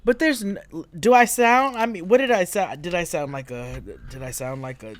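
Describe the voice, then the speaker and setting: A woman with a deep voice co-hosting a casual recap chat, telling stories with animated delivery and frequent laughter. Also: moderate pace, no echo. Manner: animated storytelling, laughs often. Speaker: podcast co-host.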